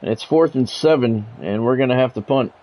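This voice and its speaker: A man's voice: medium pitch, brisk, dull audio, slight background noise. A man streaming a sports game, mixing radio-style play-by-play with casual chat.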